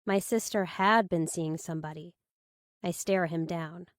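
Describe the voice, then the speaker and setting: A woman's voice: lowish voice, a little slow, no room sound, clean studio sound. A woman reading scripted first-person thriller narration as an audiobook narrator.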